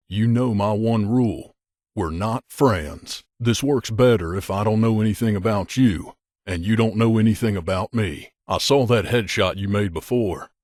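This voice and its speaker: A man playing a grizzled, guarded veteran mercenary in a video game, with a gruff, curt, world-weary manner. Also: lowish voice, dry recording, studio quality. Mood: hardened, blunt. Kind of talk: video game companion dialogue.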